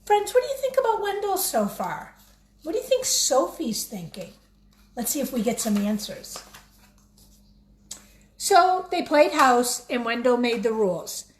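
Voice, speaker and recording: A woman teacher reading a picture book aloud expressively, pausing to put questions directly to young listeners. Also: high-pitched, low-quality recording. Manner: expressive, addresses children directly.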